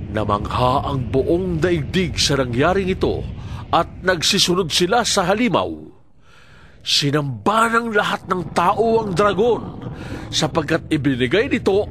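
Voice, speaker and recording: A man narrating a Bible audio drama in a solemn, deliberate way, with dramatic swells in his delivery. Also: fairly high pitch, noisy background. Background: music.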